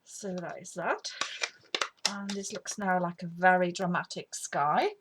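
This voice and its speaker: Brief: middle-aged woman, lowish voice, a bit sniffly from a cold, chatty crafting tutorial with a faint hiss behind. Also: unhurried. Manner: rambling, chatty, drops to whispers while working. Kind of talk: casual craft demonstration. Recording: faint background noise.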